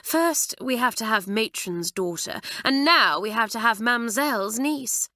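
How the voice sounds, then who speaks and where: A woman's voice: on the high side, expressive, measured pace, sharp tone, no room sound, studio quality, pitched above the performer's own voice, full character voice. A woman voicing a haughty, spiteful English schoolgirl, sniffy and disdainful. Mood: scornful.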